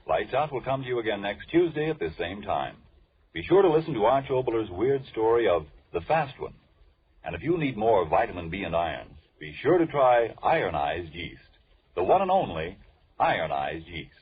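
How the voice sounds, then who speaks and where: Animated man announcing on vintage radio. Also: lowish voice, normal speed. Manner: emphatic, polished salesmanship. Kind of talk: 1940s radio sponsor pitch. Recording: tinny, rough 1940s radio recording.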